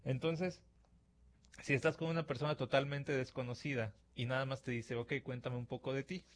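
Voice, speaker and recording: A man's voice: mid-range voice, fairly even intonation, moderate pace. A man from Mexico with a Mexican accent, hosting a reflective radio-style talk at a studio mic and acting out imagined dialogues with fillers. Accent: Mexican Spanish. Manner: conversational, acts out imagined dialogues with filler words. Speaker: radio-style podcast host. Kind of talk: reflective monologue to listeners. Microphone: studio microphone.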